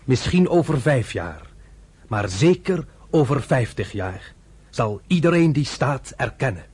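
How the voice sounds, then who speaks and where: A man's voice: medium pitch, very animated, measured pace, darkish tone, breathy edge. An actor playing a man declaring a prophetic conviction in a radio play, in a declamatory manner. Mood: prophetic, assured. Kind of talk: dramatic speech in a radio play.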